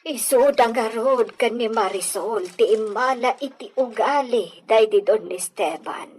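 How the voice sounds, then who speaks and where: A woman's voice: fairly high pitch, a little slow, darkish tone, husky. A woman playing a skeptical, level-headed village confidante in an Ilocano audio drama. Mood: doubtful.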